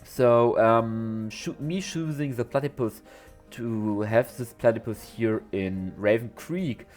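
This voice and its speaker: A man's voice: mid-range voice, slow pace, darkish tone, faint music behind. A young man with a cold, voice slightly off, chatting and rambling through a game commentary voice-over with many restarts. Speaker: zoo-building game creator.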